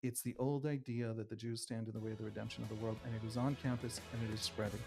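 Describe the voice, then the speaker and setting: A man's voice: on the low side, little pitch movement, fast, vocal fry, over music. Impassioned man with a Wisconsin accent. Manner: fluent, building lists and repetition. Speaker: guest analyst. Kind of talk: impassioned spoken analysis.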